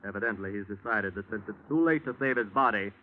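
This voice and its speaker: An actor playing an earnest, persuasive chaplain with a measured manner, in 1940s radio style. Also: male voice, on the low side, natural voice. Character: earnest, persuasive male chaplain arranging a mission. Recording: tinny, hissy 1940s radio recording.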